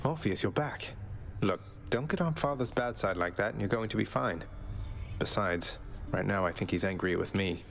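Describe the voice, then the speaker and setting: An actor playing a young underworld prince in a video game, dry and easygoing, with a casual, understated delivery. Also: male voice, on the low side, normal speed, creaky. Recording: music behind the voice.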